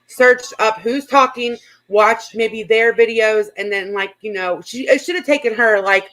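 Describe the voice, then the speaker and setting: Female stream host, fairly high voice, blunt and sarcastic, casual reaction chat. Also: a little slow, a bit bright, low-quality recording.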